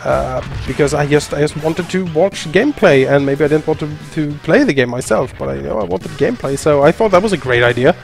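Chatty Swedish man, fairly high voice. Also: expressive, a little fast, creaky, few pauses. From Sweden. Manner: chatty, rambling, self-mocking. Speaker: let's play co-host. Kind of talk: casual gaming commentary. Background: video game music.

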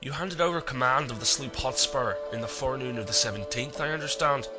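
A man's voice: medium pitch, a little fast, lightly acted. Man acting a formal naval officer, music behind. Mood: stern, official. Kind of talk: audio drama acting.

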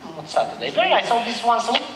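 A man's voice: very high-pitched, very animated, rapid-fire, a crowd in the background. A man in his thirties, a teacher quizzing students in a casual, jokey, encouraging way and repeating their answers back. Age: 30s. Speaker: English teacher and examiner. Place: indoor language-centre room. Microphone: headset. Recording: echoey classroom.

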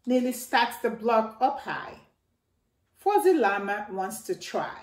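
A middle-aged woman reading a children's picture book aloud, slow and expressive, with her voice leaping up for exclamations. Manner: slow, expressive read-aloud, jumping high for exclamations and a toy's voice. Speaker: children's storytime reader. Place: living room by a staircase, indoors. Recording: echoey room.

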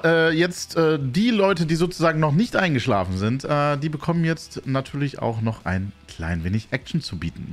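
A man in his thirties, hosting his airsoft channel, chatting to camera from a small gaming room on a studio mic, relaxed and full of filler sounds. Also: medium pitch. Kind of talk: casual talk to camera. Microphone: studio mic on arm, headset.

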